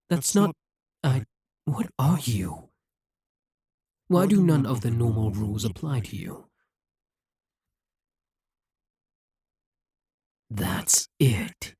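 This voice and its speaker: Possessive demon king, super slow, husky breathy voice, dry close mic. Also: medium pitch, a little mellow, no room sound, full character voice. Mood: panicked. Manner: slow, measured, intimate delivery. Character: scheming demon king, possessive, intense, male. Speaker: voice actor. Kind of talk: audio roleplay acting.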